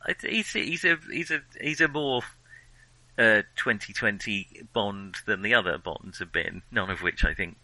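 Low-pitched, bright-voiced man chatting. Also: normal speed, dry recording, rough recording. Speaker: film discussion podcast co-host. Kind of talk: casual chat.

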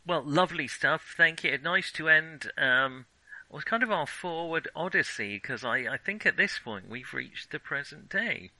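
A man's voice: fairly high pitch, lively intonation, normal speed, sharp tone, no echo, low-quality recording. A man co-hosting a film podcast in a chatty, wry, conversational way. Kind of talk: casual chat.

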